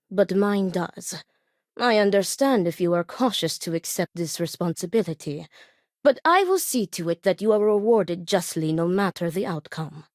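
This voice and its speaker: Actress as stern dutiful woman, video game dialogue, formal precise phrasing, medium pitch, dry close studio sound. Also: a little mellow, no room sound, lightly acted. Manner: formal, precise, clipped phrasing without contractions.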